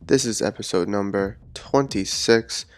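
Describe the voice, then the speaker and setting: Low-voiced man recovering from a cold. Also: a little slow, faint music behind. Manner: earnest, rambling with repeated words. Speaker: podcast host. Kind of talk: solo sports podcast talk.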